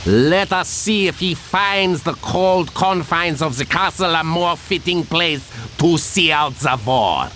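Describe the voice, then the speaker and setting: An actor playing a haughty German general in a video game, formal and threatening, with a German accent. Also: male voice, a little high, fairly bright tone, a little hiss or hum. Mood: cold menace. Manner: formal, slow, threatening, commanding. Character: haughty menacing German general addressing prisoners. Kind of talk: video game cutscene acting.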